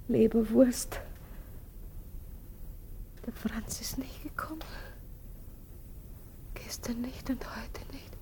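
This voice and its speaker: A woman's voice: dark tone, breathy edge, full character voice. An actress in a German radio play voicing an anguished, guilt-ridden young mother, pleading and often dropping to a whisper. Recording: noisy recording with low rumble.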